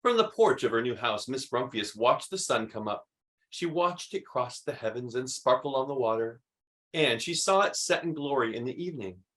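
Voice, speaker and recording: A man reading a children's story aloud expressively, with emphatic delivery and dramatic pauses. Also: mid-range voice, expressive, a bit bright. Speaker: read-aloud host.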